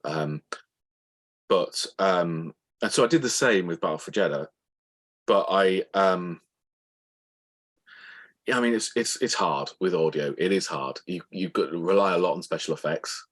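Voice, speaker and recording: Male author guest, low husky register, halting and thoughtful explanations, conversational interview delivery.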